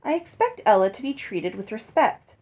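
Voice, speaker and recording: Woman as a cajoling, smooth-talking father. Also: mid-range voice, big pitch swings, normal speed, a little mellow. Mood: placating, firm. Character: smooth-talking, placating father, a ruined merchant.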